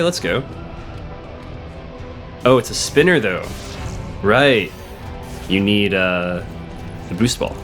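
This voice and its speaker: Hoarse deep-voiced man playing a game. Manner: thinks aloud, chatty, reads on-screen text. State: hoarse and sniffly from a cold. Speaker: let's play host. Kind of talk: casual gameplay commentary. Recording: game music playing behind the voice.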